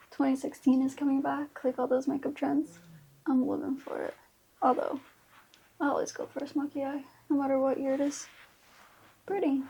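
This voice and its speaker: Female vlogger, mid 20s. Higher-pitched, mellow voice with fry, informal and chatty. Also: low-quality recording. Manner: informal, slangy speech with frequent "like". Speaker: beauty vlogger. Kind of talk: casual chatty makeup tutorial.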